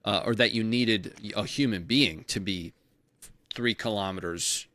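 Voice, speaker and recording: Low-voiced man, halting analytical host. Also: a bit bright, no room sound. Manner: halting, many "you know" and restarts. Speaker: interview host. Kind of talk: casual interview talk.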